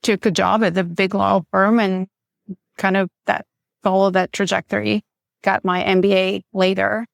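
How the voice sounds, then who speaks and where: A woman's voice: mid-range voice, level tone, moderate pace, gravelly, no room sound, studio quality. A woman, speaking as a podcast guest in a frank, matter-of-fact way. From Bulgaria. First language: Bulgarian. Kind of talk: casual interview chat.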